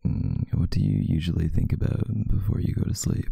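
A young man doing an intimate ASMR boyfriend roleplay as a sleepy, affectionate, teasing partner in bed, murmuring softly and drowsily close to the microphone. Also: deep voice, fairly even intonation, brisk, dry recording. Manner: soft, drowsy murmuring, partly breathy and whispered. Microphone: close microphone, dry sound.

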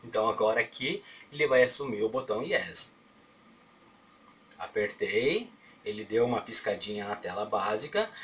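A middle-aged Brazilian man with a Brazilian Portuguese accent, walking through a phone setup in a loose, rambling way, often checking in with "right?". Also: mid-range voice. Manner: rambling, fills pauses with "certo?" and mouth sounds like "pá, pá". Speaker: tech tutorial host. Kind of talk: casual how-to walkthrough. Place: small home office at a desk. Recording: distant webcam mic with faint room noise.

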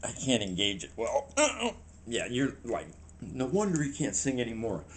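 Rambling, halting man vlogging. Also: mid-range voice, a little hiss or hum. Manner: rambling, halting, animated hand gestures. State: shaky, a little unsteady. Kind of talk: casual storytelling to camera. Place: small room indoors.

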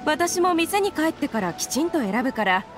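A woman's voice: high-pitched, very animated, moderate pace. A woman playing a courteous, obliging female antique merchant in a video game, speaking politely and reassuringly. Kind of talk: Japanese video game voice acting. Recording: game music behind the voice.

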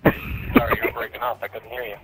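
A man's voice: mid-range voice, level tone, a little fast. A man answering a call, heard over the telephone. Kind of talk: answering phone calls. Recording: phone call with music behind.

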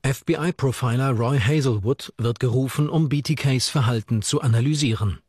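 A man's voice: mid-range voice, moderate pace, a little mellow, breathy edge, dry recording. A man reading scripted true crime narration as a documentary narrator. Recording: music under the voice. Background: background music.

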